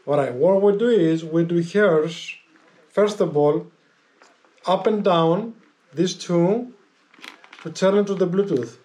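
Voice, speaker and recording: A man with a high-pitched voice talks through a casual hands-on tutorial. He explains step by step, haltingly, often repeating his instructions as he goes. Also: warm tone. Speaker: motorcycle gear vlogger giving a tutorial.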